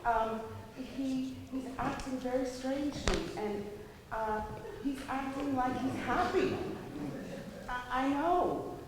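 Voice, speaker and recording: A woman playing a flustered, exasperated wife in a stage comedy, halting and rambling as she talks to her mother. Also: high voice. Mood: flustered, worried. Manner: halting, rambling, scandalized delivery. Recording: noisy, echoey live theater recording.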